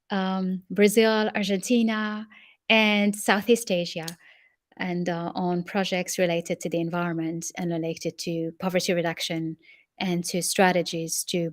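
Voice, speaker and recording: A middle-aged woman from Morocco, a guest expert answering interview questions in a measured, explanatory way. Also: on the low side, no room sound, studio quality.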